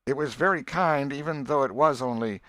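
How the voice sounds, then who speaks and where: A man's voice: mid-range voice, moderate pace, bright, forceful tone, no echo, voice pushed up, lightly acted. A man voicing a sly, sceptical young boy in an audiobook, making snide remarks. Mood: snide, doubtful. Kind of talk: audiobook character voice.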